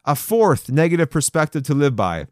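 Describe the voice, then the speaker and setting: A man's voice: on the high side, sing-song, normal speed, dry recording, clean studio sound. A man in his forties giving a folksy, encouraging sermon to men, with playful asides and chuckles. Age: 40s. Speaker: men's devotional speaker. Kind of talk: conversational podcast sermon.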